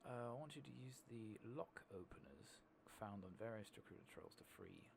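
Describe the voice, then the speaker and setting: A man doing soft-spoken ASMR commentary over a game, casually rambling and thinking aloud with the odd swear. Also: on the low side, huge pitch swings, moderate pace, vocal fry, a little hiss or hum. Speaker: gaming streamer.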